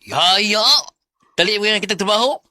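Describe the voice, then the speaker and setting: High, bright Bisaya buddy. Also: male voice, normal speed, soft background music. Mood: chummy. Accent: Filipino Bisaya. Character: young male buddy, friendly, encouraging, teasing. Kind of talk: radio drama acting.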